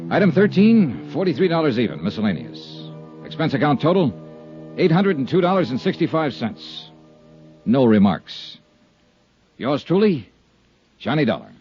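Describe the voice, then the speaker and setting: An actor playing a calm, firm male insurance investigator who narrates in a steady, clipped way and is firm when he confronts someone, in 1950s radio drama style, on a muffled old broadcast with music. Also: mid-range voice, normal speed, pauses often, close to an everyday voice. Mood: narrating. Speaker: narrator and lead.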